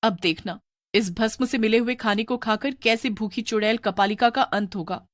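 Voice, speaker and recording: An outspoken young wife in a village storybook drama, acted by a woman. Her voice is fairly high and expressive, with a bold melodramatic delivery, recorded dry. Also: moderate pace, no room sound, natural voice. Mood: earnest, resolute. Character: outspoken young village sister-in-law, bold and quick-witted.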